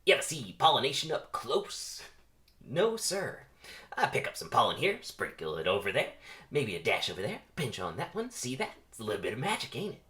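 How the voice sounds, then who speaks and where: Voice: medium pitch, wildly swooping pitch, brisk, a bit bright. A reader voicing a cocky veteran male bee who mentors a rookie with swaggering, brash delivery. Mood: teaching. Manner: brash, swaggering, calls listener kid.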